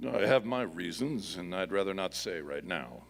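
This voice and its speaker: An actor playing a steadfast, commanding masked Western hero in a live radio play, with formal, resolute phrasing. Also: male voice, low voice, expressive, normal speed, creaky, in a large hall. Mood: purposeful.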